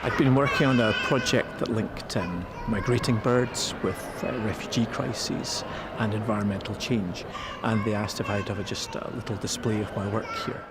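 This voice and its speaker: A man, an artist, describing his project in a relaxed way. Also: lowish voice, moderate pace. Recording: loud crowd and noise.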